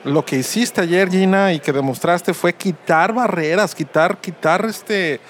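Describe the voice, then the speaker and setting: A man interviewing a guest in a casual tech chat, talking haltingly with many restarts and fillers. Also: high-pitched, slight background noise.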